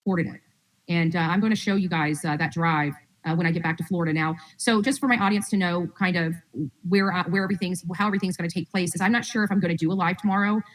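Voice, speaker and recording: Fast-talking, low-voiced woman livestreamer, slightly distant. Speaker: true-crime livestream host. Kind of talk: casual livestream update to audience.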